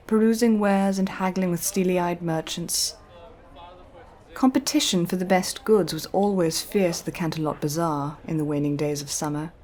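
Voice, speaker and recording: A woman reading a scripted, dramatic story as narrator, with measured and deliberate phrasing. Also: on the low side, slight background noise. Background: soft music.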